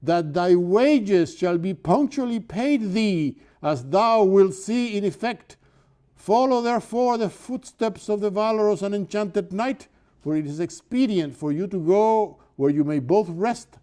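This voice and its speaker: A man's voice: sits high, mellow, pitched above the performer's own voice. Older man reading as a hammy barber-prophet. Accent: Cuban. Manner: declaiming in archaic, affected tones. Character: male barber faking a grand prophecy, hammy. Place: lecture hall in front of a chalkboard.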